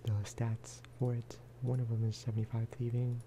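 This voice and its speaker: A man giving casual, rambling ASMR game commentary, speaking softly and often slipping into whispers. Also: medium pitch, completely flat delivery, moderate pace, background noise. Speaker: gaming video host.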